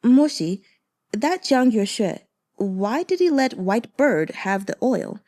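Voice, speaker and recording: A simple, earnest man with a childlike way of speaking, voiced by a woman reading an audiobook. His phrases are blunt and halting, in a soft-edged, mellow tone with lively pitch. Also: no echo, studio quality. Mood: puzzled.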